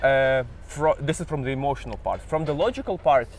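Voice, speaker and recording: A man's voice: mid-range voice, lively intonation, a little fast, slight background noise. A Ukrainian man with a Ukrainian accent hosting an interview, speaking thoughtfully in English with slightly non-native phrasing. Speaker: host. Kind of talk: casual interview.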